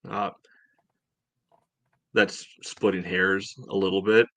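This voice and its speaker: Low-voiced man in casual soccer talk. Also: flat delivery, fairly bright tone, no echo. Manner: halting, peppers talk with "you know". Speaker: soccer podcast co-host and analyst. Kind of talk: casual sports talk, match breakdown.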